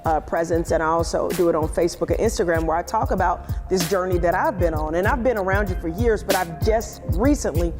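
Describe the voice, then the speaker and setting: A woman's voice: on the low side, fairly quick, hardly any pauses, over music. A woman hosting a talk show, upbeat and affirming with her guest. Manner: upbeat, affirming, frequent agreeing interjections. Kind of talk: casual interview chat.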